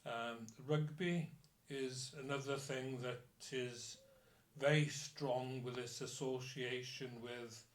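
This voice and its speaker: Slow, measured man in an echoey room. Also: mid-range voice, fairly even intonation. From Wales. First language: Welsh. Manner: measured, thoughtful, with pauses and hesitations. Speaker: interviewee. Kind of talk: reflective interview answers. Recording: roomy echo.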